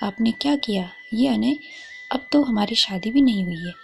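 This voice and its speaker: A woman's voice: a little high, animated, normal speed, creaky, a little mellow, soft background music. A woman voicing a shy, anxious young woman in love, bashful and questioning, in a romantic audio story. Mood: tearful reproach.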